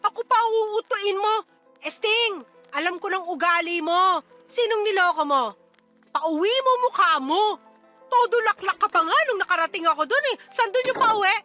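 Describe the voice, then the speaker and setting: A woman playing a brash, scornful middle-aged mother in a radio drama, sharp-tongued and dismissive. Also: very high-pitched, normal speed, soft background music. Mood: angry. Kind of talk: Tagalog radio drama acting.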